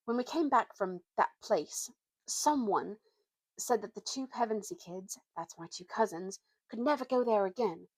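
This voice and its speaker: A woman voicing an earnest, secretive schoolboy in a story reading, speaking solemnly and haltingly in old-fashioned schoolboy slang. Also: mid-range voice, expressive, breathy edge, no room sound, low-quality recording. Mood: solemn, thoughtful.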